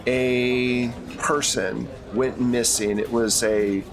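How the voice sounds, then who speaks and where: A man's voice: medium pitch, steady tone, crowd noise, lightly acted. Man playing a courteous, businesslike middle-aged female handler. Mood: businesslike, reassuring. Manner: measured, professional briefing talk.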